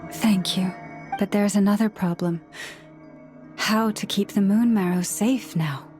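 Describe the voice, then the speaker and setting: A woman voicing a gentle, earnest young moon priestess in a video game, speaking softly and with measure. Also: medium pitch, steady tone, soft background music, close to an everyday voice. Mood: grave. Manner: soft-spoken, earnest, measured.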